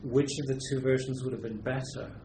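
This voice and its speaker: A British-raised man answers seminar questions at a middle pitch, weighing each word and often restarting his sentences. The recording catches a roomy lecture space, with steady background noise behind him. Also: fairly quick. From Britain. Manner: thoughtful, hesitant, many restarts and fillers. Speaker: lecturer and literary translator. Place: university seminar room.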